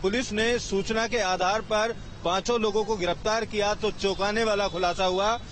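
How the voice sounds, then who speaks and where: A man reporting news from an outdoor location in a halting, unscripted way. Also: high-pitched, steady tone, fairly bright tone. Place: outdoors at an urban street location. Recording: faint outdoor street and traffic noise.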